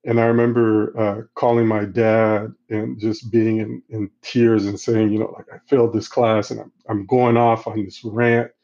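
A middle-aged man who grew up in Central Africa, answering interview questions in a halting, reflective way with many restarts. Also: on the low side, level tone, a little mellow. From Congo, Central Africa. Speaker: guest. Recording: slightly dull, lo-fi sound.

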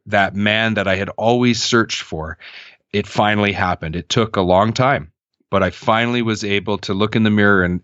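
Man, 30s, low-pitched, earnest, reflective, dry dull lo-fi sound. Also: no room sound. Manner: earnest, reflective, animated when quoting others. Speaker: podcast guest. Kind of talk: candid personal storytelling.

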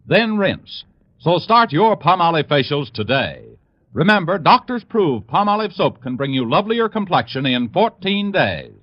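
A man's voice: on the high side, sing-song, moderate pace, slight background noise. An American man reading a sponsor's commercial in 1940s radio announcer style. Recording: muffled vintage radio recording.

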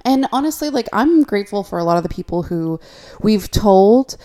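A woman's voice: medium pitch, expressive, normal speed, mellow. A young adult woman co-hosting a podcast in casual, conversational chat. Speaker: podcast co-host.

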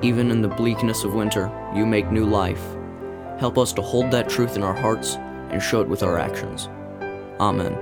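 A man reading a scripted devotional in a measured, earnest way. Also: lowish voice, steady tone, over loud music. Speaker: devotional reader.

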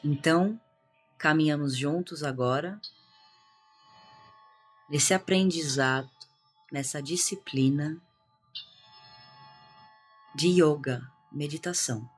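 A Brazilian woman leads a guided meditation in a low, breathy voice. She is calm and hushed and takes her time, leaving long pauses between phrases over music. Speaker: meditation guide, integrative therapist. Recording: music behind the voice.

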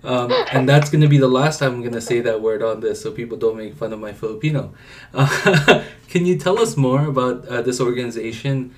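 A man hosting a podcast, asking questions in a relaxed way with hesitant fillers. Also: medium pitch, fairly quick. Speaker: podcast host. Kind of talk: casual interview chat.

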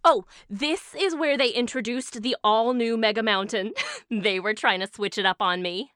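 A woman's voice: a little high, normal speed, a bit bright, clear, resonant tone, no echo, clean studio sound, close to an everyday voice. A woman playing a bubbly, affectionate aunt who speaks fondly and with emphasis. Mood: fond, wry. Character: bubbly, affectionate aunt, former game show champion.

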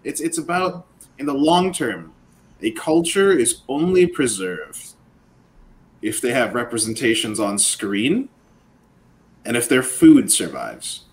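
A man's voice: medium pitch, sing-song, slow, long pauses. Man, thoughtful, stammers into his points, faint noise behind. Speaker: podcast host. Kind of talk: casual chat.

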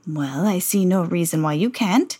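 Storybook villain: a woman doing a cold stepmother, low and clipped, full of authority. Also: expressive, normal speed, warm tone, breathy edge. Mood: sly. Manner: stern, clipped orders. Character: cold, commanding stepmother, middle-aged woman.

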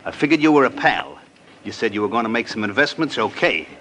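An actor playing a sarcastic, aggrieved moneylender full of wiseguy slang in a 1950s radio crime drama. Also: male voice, medium pitch, very animated, fast, bright, forceful tone. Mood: insistent. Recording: slightly noisy old radio recording.

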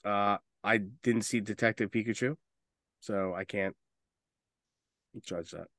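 A man's voice: lowish voice, fairly even intonation, takes it slowly, fairly bright tone, no echo, clean studio sound. A man chatting casually as a podcast co-host, informal and rambling, given to swearing. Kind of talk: casual chat about video game movies.

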